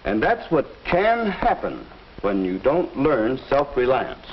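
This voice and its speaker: An actor playing a kindly, patient teacher with a measured, explanatory way of talking in a 1950s instructional film. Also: male voice, medium pitch, wildly swooping pitch, exaggerated voice. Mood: earnest, patient. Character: kindly, patient male teacher advising a student. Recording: muffled old film soundtrack, faint noise.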